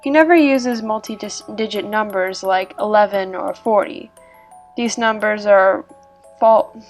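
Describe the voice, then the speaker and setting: Woman, mid-pitched voice, scripted story narration, measured explanatory delivery, music behind. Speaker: narrator reading an online horror story about a video game.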